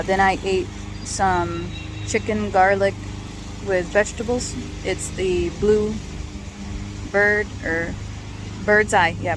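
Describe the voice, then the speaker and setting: A hoarse woman talking casually in a personal vlog, halting often with ums and restarts. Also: medium pitch, noisy background.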